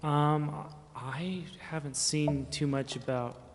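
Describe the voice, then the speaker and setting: A man's voice: fairly high pitch, takes it slowly. A man speaking as a city staff member, explaining things informally at a public meeting in a rambling, casual way that often trails off. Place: reverberant council chamber. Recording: hall reverb, faint background noise.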